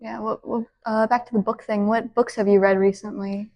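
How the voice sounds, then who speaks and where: A woman's voice: medium pitch, flat delivery, normal speed, darkish tone. A young woman interviewing a teacher for a school news show, asking short, polite questions. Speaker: student interviewer.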